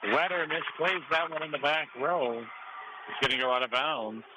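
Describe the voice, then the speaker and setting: An American man calling a high school game live on the radio as play-by-play announcer. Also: moderate pace. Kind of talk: live radio sports commentary. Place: high school gym broadcast position. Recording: faint noise behind live broadcast.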